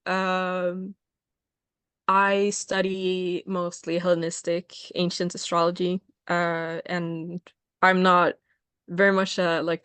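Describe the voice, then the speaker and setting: A young non-binary student chairing a casual meeting on a video call, talking with many pauses and fillers. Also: medium pitch, a bit bright, clean tone, no room sound.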